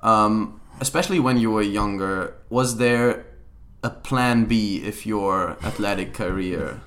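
A man interviewing a guest in a casual conversation, asking questions with many fillers and quick agreements. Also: fairly low pitch, fairly bright tone. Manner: chatty, many "um"s and "yeah"s.

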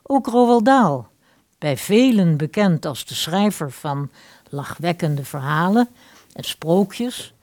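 A woman presents a radio drama with scripted narration. Her voice is slightly low and unhurried, delivered with dramatic deliberation in a dry recording. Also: animated, darkish tone, no room sound. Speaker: host of a radio play series.

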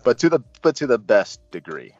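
A man talks through a casual film review as host, halting and rambling, with bursts of emphasis. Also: mid-range voice, big pitch swings, darkish tone, dry recording, muffled recording, faint music behind. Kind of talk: casual movie review discussion.

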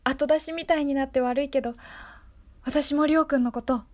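A woman's voice: high voice, steady tone, brisk, natural voice. A woman playing a wistful, tender teenage girl shyly confessing first love, hesitantly, in an improvised radio drama. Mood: tender, shy regret.

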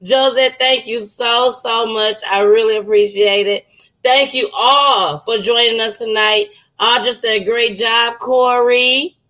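Bright-voiced woman podcast host. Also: a little high, fairly even intonation, slow. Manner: repeats words, thanks listeners. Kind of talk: casual chat.

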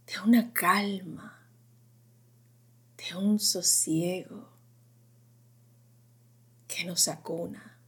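A woman narrating a guided sleep meditation in a gentle, unhurried, whispery voice, in short phrases with long pauses. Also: on the low side. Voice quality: breathy, whispery, often dropping into whisper.

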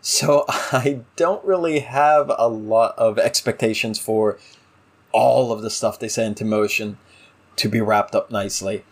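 A man's voice: fairly low pitch, unhurried, husky. A man co-hosting a casual discussion show, giving opinionated, exasperated critique in a conversational way. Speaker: podcast co-host.

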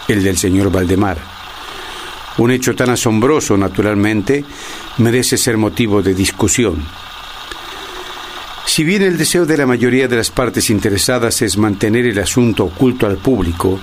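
An actor playing a solemn gentleman recording his formal, deliberate testimony in a radio drama monologue. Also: natural voice. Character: solemn male narrator recording his testimony about a strange case. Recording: noisy recording with ambient rumble.

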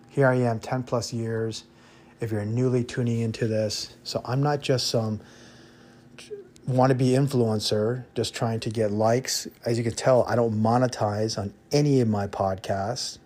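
A man's voice: medium pitch, a little mellow, husky. An American man hosting an informal podcast monologue, giving opinionated advice in a blunt way and repeating points for emphasis. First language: English. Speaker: expat podcast host.

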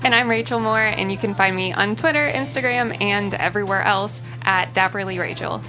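A woman's voice: medium pitch, brisk, music in the background. A woman speaking casually as a writer guest in an interview. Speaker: screenwriter guest.

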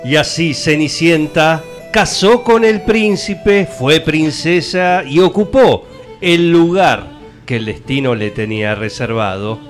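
A man's voice: a little high, takes it slowly. A man with an Argentine accent narrating a storybook parody as part of a comic radio theatre show. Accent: Argentine, Rioplatense. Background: music.

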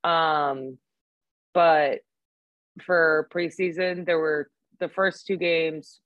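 A woman in her twenties in casual chat, blunt and swearing freely, excited when talking sports. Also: low-pitched, very slow, no room sound, narrow, tinny audio. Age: 20s.

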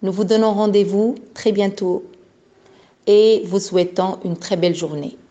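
A woman's voice: medium pitch, steady tone, a little slow, mellow, highs cut off. A woman hosting a radio program, asking polite, formal questions and addressing her listeners directly. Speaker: radio show host.

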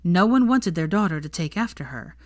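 A woman's voice: fairly low pitch, sing-song, fairly quick, no echo, clean studio sound. A woman reading fan fiction aloud as scripted story narration.

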